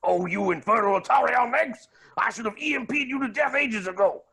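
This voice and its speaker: A man's voice: extremely high pitch, fairly quick, creaky, pushed and bright, exaggerated voice. A man acting a gleefully cruel billionaire villain in a staged play reading, with pompous, melodramatic, swooping delivery. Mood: exasperated.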